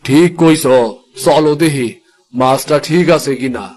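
A man's voice: a little high, takes it slowly, darkish tone. A man voicing a poor, worried fisherman father in a cartoon, with a rural Bangla dialect and an earthy, fretful manner. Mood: worried, fatherly. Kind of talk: cartoon voice acting.

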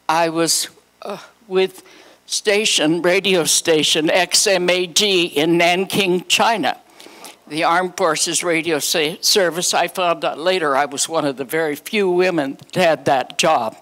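A woman, reminiscing in a halting, rambling way into a handheld microphone before an audience. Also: on the low side, a bit bright. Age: 90s. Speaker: guest speaker sharing memories. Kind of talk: spontaneous reminiscence. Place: hotel conference room, indoors. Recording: audience noise in conference room.

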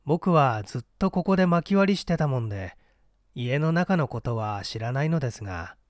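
A man's voice: mid-range voice, animated, normal speed, no room sound, clean studio sound, lightly acted. A man playing an unruffled young man in his twenties, giving matter-of-fact testimony in a mystery audiobook.

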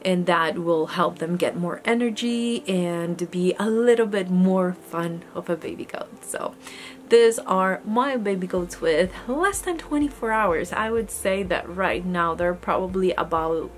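A woman's voice: lowish voice, unhurried. A young woman talking casually to the camera in an indoor room, chatty and earnest, often restarting her sentences. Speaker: homesteading vlogger. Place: indoor room with goat pen, daytime. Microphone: camera close in front.

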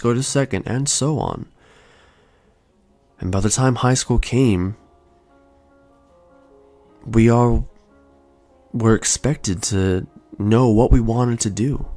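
A young man in his twenties hosting a podcast, telling his own story in a soft, slow, soothing way. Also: lowish voice, no room sound. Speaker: podcast host. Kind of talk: calm reflective storytelling. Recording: faint lo-fi music bed. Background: soft lo-fi music.

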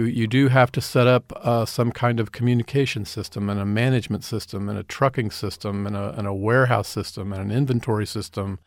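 A middle-aged man speaks earnestly as a radio interview guest, stopping to repeat and restart his words. His voice has a gravelly edge at a mid pitch. The sound is dry and close, with no room around it. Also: no echo. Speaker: community development director, guest.

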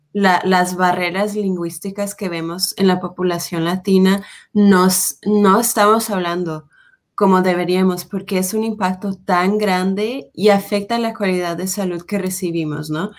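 A young woman interviewing on a video call, speaking tentatively and pausing mid-sentence. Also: lowish voice, steady tone. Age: young adult. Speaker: interviewer, health policy associate. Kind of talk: conversational interview. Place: indoors, plain room with a window. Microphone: computer mic on a video call.

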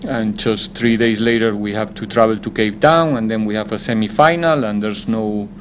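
A man, a football head coach, answering press conference questions in a measured, deliberate way, often pausing to find his words. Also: lowish voice, steady tone, a little hiss or hum.

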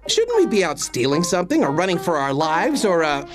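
Wisecracking gentleman thief, American accent, very animated pitch, cartoon comedy, loud backing music. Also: brisk. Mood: playful. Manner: flippant, joking, exaggerated swings. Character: cocky, wisecracking, lecherous male gentleman thief. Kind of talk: animated comedy dub acting.

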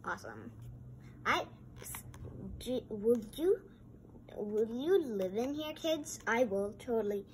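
A woman reading a picture book aloud and adding chatty, exclamatory comments in a halting way. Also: a little high, sing-song, a little mellow. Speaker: read-aloud host. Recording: noisy amateur home recording.

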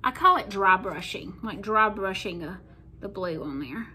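A woman's voice: medium pitch, expressive, moderate pace, pushed and bright, a little hiss or hum. A middle-aged woman with a Southern US accent, chatting folksily through a painting tutorial at her kitchen table. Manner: chatty, folksy, talks while working. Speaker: craft painting host. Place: home kitchen table, indoors.

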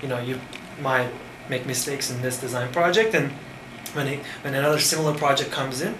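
A man in his thirties from Turkey, Turkish native, speaking English with a Turkish accent in a casual interview, pausing often with fillers. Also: medium pitch. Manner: thoughtful, halting with many fillers. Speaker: design firm founder. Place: cafe-like room with framed wall, indoors. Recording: echoey room with background noise.

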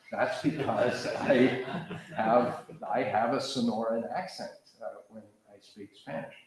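A man's voice: lowish voice, slight background noise. An older American man from the Pacific Coast gives a conversational, digressive lecture, pacing in front of a seated audience in a large lecture hall, picked up by a far camera. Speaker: professor leading a master class.

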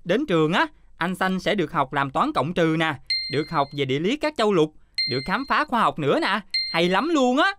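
A woman's voice: lowish voice, very animated, fairly quick, fairly bright tone, slight background noise. A woman playing an earnest, cheerful, encouraging little schoolgirl creature in a children's cartoon. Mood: eager, encouraging. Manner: eager, encouraging.

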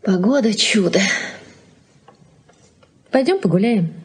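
An actress in a Soviet-era radio play, playing a conciliatory, gently wistful middle-aged wife and mother who persuades softly. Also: mid-range voice, animated, slow, noisy background. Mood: solicitous. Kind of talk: Soviet 1980s radio drama acting.

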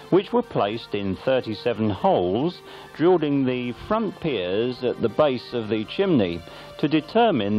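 A man reads scripted local newsreel narration with a very animated, varied delivery. His pitch sits in an average male range. The sound is slightly muffled and lo-fi, with music behind him. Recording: band-limited, dull lo-fi videotape sound.

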